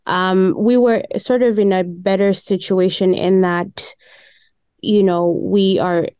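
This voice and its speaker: A woman's voice: medium pitch, steady tone, takes it slowly, darkish tone, smooth, no echo, studio quality. A woman interviewer asking long, hesitant questions with frequent restarts. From grew up under a British system before Canada. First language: English. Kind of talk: conversational interview.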